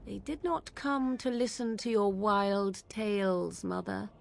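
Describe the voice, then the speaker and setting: A woman's voice: medium pitch, a little slow, vocal fry. An aloof young witch. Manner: cold, curt. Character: aloof young female witch, sharp-tongued.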